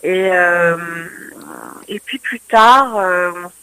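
Woman, singer-songwriter, radio interview guest, bright tone, hesitant, many fillers. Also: on the low side, extremely slow, smooth, no room sound. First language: French.

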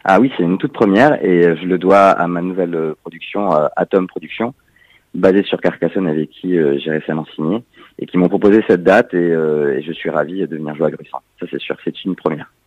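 A very deep-voiced man from Montpellier talks through a radio interview, pausing often with fillers. The sound is dry and a little dull, like a modest studio feed. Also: darkish tone, dry recording. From Montpellier, France. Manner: hesitant, many fillers. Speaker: musician and actor guest.

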